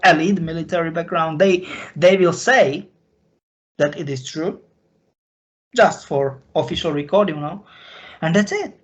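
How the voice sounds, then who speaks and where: A man from Slovakia with a Slovak accent, a native Slovak speaker, talking off the cuff and confessionally in halting, repetitive, broken phrases. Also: high voice, fairly bright tone. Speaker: recurring guest on a question-and-answer show. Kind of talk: unscripted, confessional talk.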